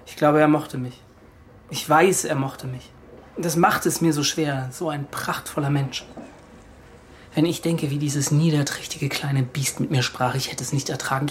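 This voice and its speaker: An actor playing a gentlemanly young seaman tormented by shame, agitated and confessional, in a German radio play. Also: a little high, steady tone, moderate pace, lightly acted. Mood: bitter, troubled.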